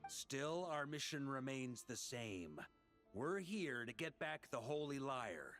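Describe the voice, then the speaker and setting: A slow-speaking, resolute young male hero, composed and deliberate. His medium-pitched game voice moves widely in pitch over faint music. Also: sing-song, fairly bright tone. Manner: measured, deliberate. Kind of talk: video game voice acting.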